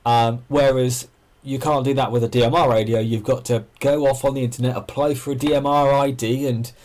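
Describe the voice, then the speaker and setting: Male livestream host, mid-pitched voice, casual and discursive, explaining as he goes. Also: fairly even intonation, brisk, a little mellow. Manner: discursive, thinking aloud. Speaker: amateur radio livestream host. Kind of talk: casual livestream chat, explaining and answering viewers.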